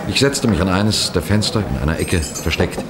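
A man playing a composed, clever police detective, recounting a case in measured, precise radio mystery narration. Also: deep voice, lively intonation, background noise. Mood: measured, suspenseful. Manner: measured, precise, deliberate storytelling.

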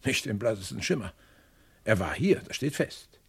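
Gravelly, wry police detective. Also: male voice, lowish voice, very animated, normal speed, heavily acted. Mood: wry, determined. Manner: dry, sardonic, probing. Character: dry, wry male police detective questioning witnesses. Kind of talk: German crime radio play acting.

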